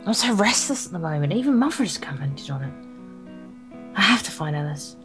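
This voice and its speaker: A woman's voice: lowish voice, sing-song, moderate pace, a bit bright, faint music behind. A woman reading a visual novel aloud for a gameplay video, in a playful, theatrical way with crude joking asides.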